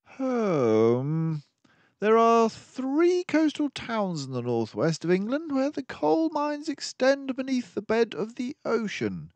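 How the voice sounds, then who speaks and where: A man voicing a brilliant, excitable, commanding mouse detective in a children's storybook, speaking in an emphatic, theatrical way. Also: extremely high pitch, slow, no echo, voice pushed up, full character voice. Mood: triumphant, brisk. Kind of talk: children's storybook read-aloud.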